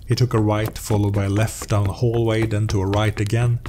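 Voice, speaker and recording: A man's voice: lowish voice, level tone, fairly quick, noisy background. A man narrating a fantasy story in a scripted audiobook style, as its host. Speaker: narrator and host of a role-playing story series.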